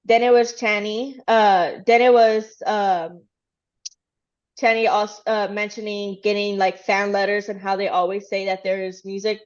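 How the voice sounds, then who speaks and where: A woman's voice: medium pitch, level tone, measured pace, muffled recording. A woman from Texas casually recapping a livestream in a chatty, rambling way with lots of fillers. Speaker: fan channel host.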